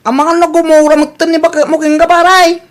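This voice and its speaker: High-voiced evasive buddy acted by a man. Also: little pitch movement, fairly quick, fairly bright tone. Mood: pleading, evasive. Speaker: drama actor. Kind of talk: Ilocano audio drama acting.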